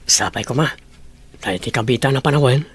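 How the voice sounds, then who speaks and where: An actor playing an earnest, humble young suitor in a Philippine radio drama, with a husky voice. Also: male voice, mid-range voice, a little fast, natural voice. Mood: earnest, pleading.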